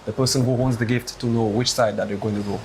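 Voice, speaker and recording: A man in his twenties, a singer and reporter, answering interview questions in a measured, reflective and earnest way. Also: lowish voice, steady tone. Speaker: guest vocalist and reporter. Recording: noisy background hiss.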